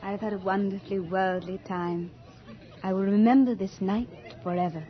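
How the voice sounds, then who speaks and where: A woman's voice: medium pitch, fairly even intonation, moderate pace, some chatter behind, close to an everyday voice. An actress playing Death as a gracious, beautiful young lady in a radio horror drama, genteel, delicate and wistful. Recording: dull 1980s radio recording, faint music.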